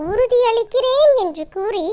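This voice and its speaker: A high-voiced storyteller acting a stern king from a children's fable. He declares his words formally and slowly, in a very high voice with lively rises and falls. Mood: humbled, solemn. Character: cruel king humbled by a lion's love.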